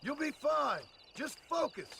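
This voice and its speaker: A world-weary American cowboy in a Western game. He is terse, guarded and stoic, with a voice pitched very high for a man. There is clear outdoor noise behind him. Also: sing-song, unhurried, exaggerated voice. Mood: dry, impatient. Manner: terse, plain-spoken, guarded. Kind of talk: video game voice acting.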